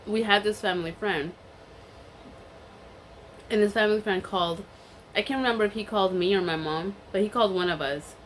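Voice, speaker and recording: A woman's voice: medium pitch, brisk, pauses often, slight background noise, low-quality recording. A young woman with a cold and a stuffy voice, telling a rambling story in a casual chat while she eats. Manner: chatty and rambling, many fillers and restarts. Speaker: vlogger.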